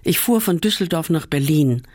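Man calmly reading a literary memoir. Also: fairly high pitch, moderate pace, no echo, studio quality. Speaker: reader. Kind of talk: reading a first-person literary essay aloud.

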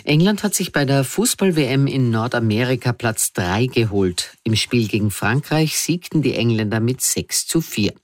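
A man reading scripted news in simple language in a measured, structured manner. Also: medium pitch, animated, no echo, studio quality. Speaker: newsreader.

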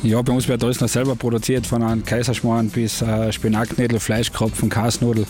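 Carinthian innkeeper, monotone and halting, interviewed at an outdoor event with music. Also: male voice, medium pitch. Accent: Carinthian Austrian German. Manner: easygoing, hesitant with fillers. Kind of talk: casual interview.

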